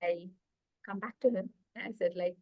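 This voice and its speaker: A woman's voice: fairly low pitch, moderate pace, dry recording, highs cut off. A woman in her thirties with an Indian accent, a guest telling her life story eagerly over a video call, with many fillers. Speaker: guest, executive coach. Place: small room indoors.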